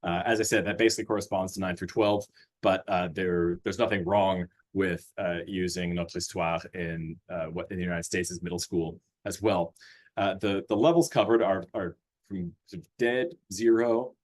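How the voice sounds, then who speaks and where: A man's voice: low voice, fairly even intonation, normal speed. Man presenting a curriculum over a video call. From Austria. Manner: hesitant, many fillers and restarts, friendly. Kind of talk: webinar presentation.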